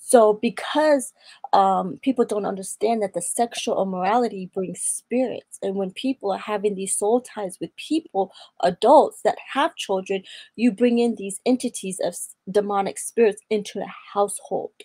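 A young woman from Atlanta, Georgia, giving an earnest personal testimony in a faith interview, quoting scripture and pausing on fillers. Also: mid-range voice, darkish tone, slightly rough, dry recording. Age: young adult. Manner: earnest, preaching tone with scripture quotes. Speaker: guest.

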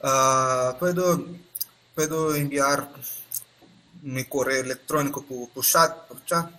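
A man from Brazil with a Brazilian Portuguese accent, a guest on a podcast interview over a video call, speaking Spanish haltingly with many restarts and "ah" fillers. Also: medium pitch, fairly even intonation, sharp tone. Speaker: guest expert.

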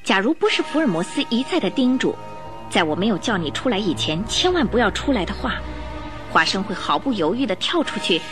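A woman narrating a radio drama as a scripted, dramatic story. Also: medium pitch.

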